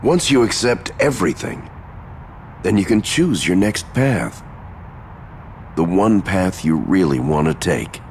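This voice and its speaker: Cocky gruff game dad, slow gravelly teasing voice, kinda low, swaggering and blunt. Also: male voice, animated, a little hiss or hum. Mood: taunting, stern. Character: cocky, taunting middle-aged warrior father, gruffly affectionate. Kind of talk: fantasy video game voice acting.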